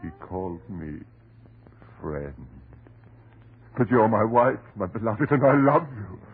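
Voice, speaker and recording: A man's voice: lowish voice, big pitch swings, slow, narrow, tinny audio, full character voice. An actor playing a distraught, desperate husband devoted to his wife, in melodramatic, pleading 1930s radio horror style. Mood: feverish, devoted. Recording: noisy, band-limited 1930s radio recording.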